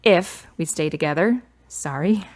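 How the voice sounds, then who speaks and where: A woman's voice: lowish voice, animated, a little slow, darkish tone. A woman playing a candid, reflective, grieving daughter of about thirty in a radio drama. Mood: earnest, reflective.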